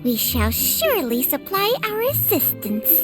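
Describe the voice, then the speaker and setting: A woman's voice: high-pitched, big pitch swings, measured pace, music in the background. A woman voicing a wry talking snake in a video game, with ornate, alliterative phrasing. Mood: wry, sly. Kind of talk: video game character dialogue.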